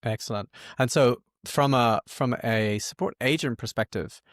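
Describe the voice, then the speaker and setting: A man's voice: mid-range voice, normal speed, no room sound, studio quality. A man hosting an interview podcast, conversationally summing up what his guest said and asking questions. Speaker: podcast host.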